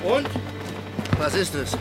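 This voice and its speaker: An actor playing a scornful, domineering pirate captain in a German radio drama, brusque and dismissive. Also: male voice, high voice, big pitch swings, slow, over loud music, exaggerated voice. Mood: scornful, commanding. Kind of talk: German audio drama acting.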